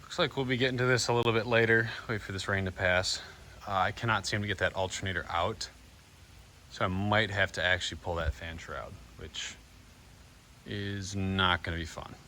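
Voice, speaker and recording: Low-voiced man, casual DIY vlogger. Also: fairly even intonation, moderate pace, bright, forceful tone. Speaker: vlog host doing DIY car repair. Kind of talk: casual narration to camera while working. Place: in and around a vehicle. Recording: noisy car and engine ambience. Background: car and ambient noise.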